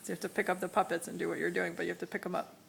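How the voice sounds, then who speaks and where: A woman's voice: lowish voice, little pitch movement, racing. A woman talking casually to young children as a parent would, instructing and encouraging them and often repeating herself. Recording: clearly noisy amateur video sound.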